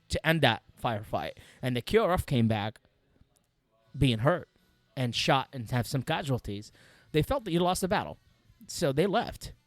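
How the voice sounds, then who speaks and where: A man in his thirties with an Iraqi Arabic accent speaks as an interview guest, at a middling pitch. He tells his story emphatically, repeating phrases for weight. The recording is dry, with very little room sound. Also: dry recording. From Iraq, Baghdad. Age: 30s. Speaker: podcast guest, military veteran. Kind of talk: personal war storytelling in an interview.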